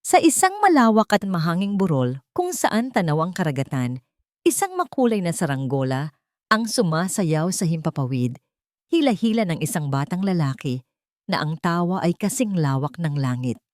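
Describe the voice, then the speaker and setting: A woman narrates a dramatic audiobook story in the first person. Her voice sits low, and the sound is dry with hardly any room in it. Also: very animated, moderate pace, a little mellow, no echo.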